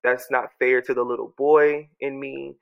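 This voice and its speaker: A man with a stuffy, congested voice talks softly and earnestly at home, musing in loops and often restarting his thoughts. His tone has a gravelly fry at the edges and sits in a middle range. The recording through his home microphone sounds a bit dull and lo-fi. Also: medium pitch, level tone, measured pace. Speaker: podcast host journaling aloud. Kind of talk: reflective personal audio journal. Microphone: own studio microphone.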